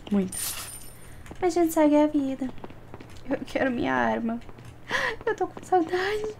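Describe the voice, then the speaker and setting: A young adult woman with a Brazilian Portuguese accent giving casual gameplay commentary, often repeating words in excited bursts. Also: high-pitched, measured pace, creaky, a little hiss or hum. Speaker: gaming streamer. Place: indoor room at home. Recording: game music behind the voice. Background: video game music.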